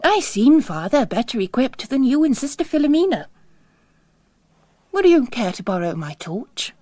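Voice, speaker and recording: Courtly male shopkeeper, played by a woman reader: formal, knowing, politely insistent, lilting pitch movement. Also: sing-song, moderate pace, mellow, dry recording. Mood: amiable, knowing. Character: male village tobacconist, amiable, knowing, courtly but insistent.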